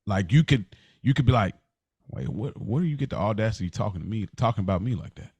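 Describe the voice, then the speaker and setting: A man's voice: lowish voice, lively intonation, quick pace, darkish tone, breathy edge, no echo. An American man co-hosting a podcast, talking casually and reflectively, often repeating and rephrasing as he explains. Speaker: podcast co-host.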